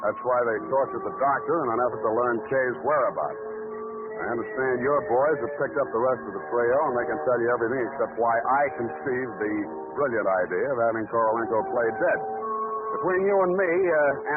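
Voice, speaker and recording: An actor playing a wry, wisecracking hard-boiled private detective in 1940s American radio style, with a deadpan delivery. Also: male voice, medium pitch, natural voice. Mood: dry, businesslike. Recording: noisy, tinny 1940s radio recording.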